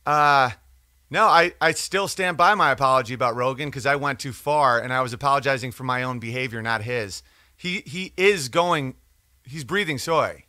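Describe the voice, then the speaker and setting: A man's voice: mid-range voice, moderate pace, sharp tone, no echo, clean studio sound. A man hosting a podcast, talking in a casual, digressive, profane monologue as he reads out and answers comments. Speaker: podcast or livestream host.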